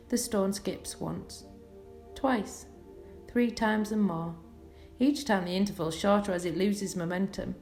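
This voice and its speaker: Female hypnotherapist for scripted guided relaxation; soothing, unhurried pauses, noticeably creaky voice, faint music underneath. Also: mid-range voice. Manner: soothing, measured, lulling delivery with pauses. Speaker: clinical hypnotherapist leading a session.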